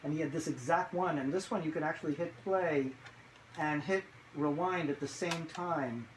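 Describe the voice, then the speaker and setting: Jokey new guy doing an unboxing, kinda high voice, lots of background noise. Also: normal speed, poor audio. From New York City. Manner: playful, jokey, chatty. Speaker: museum curator and presenter. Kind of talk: casual unboxing chat to camera. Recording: clear background noise, live ambience.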